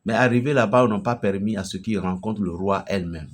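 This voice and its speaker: A man from Benin with a Beninese French accent, preaching an emphatic, storytelling message to a fellow pastor. His voice swells loudly at the climaxes. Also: lowish voice, brisk. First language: a local Beninese language.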